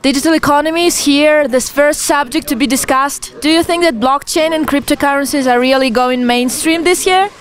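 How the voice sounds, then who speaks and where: A young woman reporter holds a mic on a night street and asks earnest questions. Her voice is high and bright in pitch, her phrasing a little halting. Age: young adult. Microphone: handheld mic.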